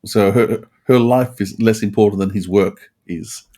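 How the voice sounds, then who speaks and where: A man's voice: on the low side, a little slow, dark tone. A man musing earnestly in a podcast discussion, thinking aloud in a reflective way. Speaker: podcast panelist.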